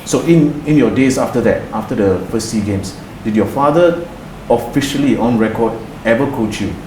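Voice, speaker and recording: A man's voice: mid-range voice, expressive, moderate pace, dark tone. Male interviewer, off-mic, roomy echo, faint noise, short plain questions. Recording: roomy and distant with light noise.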